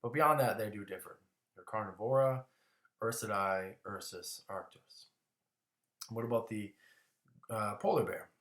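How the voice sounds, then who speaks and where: Casting note: a man in his own voice teaching a casual class, lowish and bright, with stumbles and restarts. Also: a little slow, pauses often, echoey room. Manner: casual, restarts words, coughs now and then. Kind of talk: classroom lecture.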